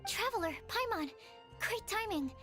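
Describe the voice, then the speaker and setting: A woman voicing a cheerful, eager young girl in a video game, perky and animated. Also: extremely high pitch, moderate pace, full character voice. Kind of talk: English video game dub dialogue. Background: game music.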